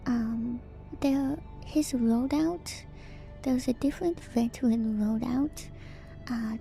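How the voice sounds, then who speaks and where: Softly whispering, unhurried woman. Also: sits high. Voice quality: soft, breathy whisper. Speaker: streamer, host of a lore breakdown. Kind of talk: whispered ASMR commentary on game lore.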